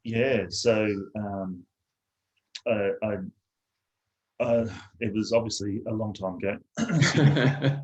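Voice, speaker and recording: A man from South Australia who is an interview guest, telling his own story in a soft-spoken, reflective and hesitant way. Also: fairly low pitch, takes it slowly, long pauses, highs cut off.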